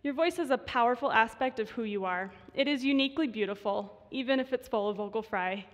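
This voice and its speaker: Young female speaker with vocal fry, slightly high-pitched, stage talk in a reverberant hall. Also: brisk, highs cut off. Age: 20s. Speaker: student voice researcher giving a talk. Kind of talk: prepared short stage talk.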